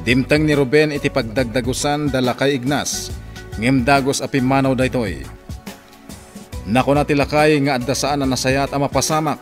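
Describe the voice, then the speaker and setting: A man giving scripted, dramatic narration for an Ilocano radio serial. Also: medium pitch.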